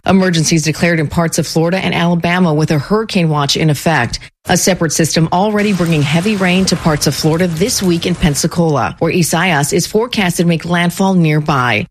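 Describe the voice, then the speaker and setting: Meteorologist, steady read, mid pitch, faint clicks. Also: a little fast, a little hiss or hum. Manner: steady forecast delivery. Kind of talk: scripted news report.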